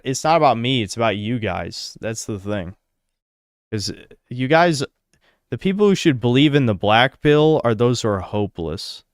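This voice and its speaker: This is a man in casual livestream chat, reading out donations and answering them bluntly and crudely. His voice sits on the low side and sounds smooth and resonant. The sound is dry and close, with no room echo.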